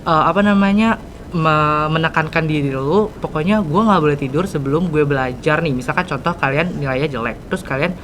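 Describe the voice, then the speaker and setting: Teenage boy, podcast host, slangy, lots of fillers, faint rumble. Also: fairly quick. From Indonesia. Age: teens. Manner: slang-heavy, many fillers. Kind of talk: casual podcast interview.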